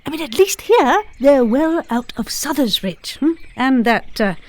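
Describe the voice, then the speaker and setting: A woman playing a flustered, evasive yet imperious headmistress, halting and hedging in an audio comedy drama. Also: sits high, big pitch swings, a little mellow. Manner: halting, hedging, breezily authoritative.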